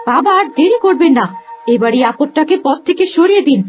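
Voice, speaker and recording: A woman's voice: sits high, expressive, a little fast, darkish tone, soft background music. A woman voicing a spiteful, impatient young sorcerer's henchwoman in a melodramatic cartoon. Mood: goading. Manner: urgent, goading.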